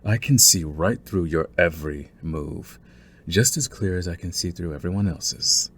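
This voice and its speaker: Man acting as young crime boss, very low husky voice, deliberate, falsely courteous, cunning, intimate ASMR roleplay. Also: a bit bright, heavily acted. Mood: cold, menacing. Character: young male mafia heir, falsely meek, cunning, menacing, condescending.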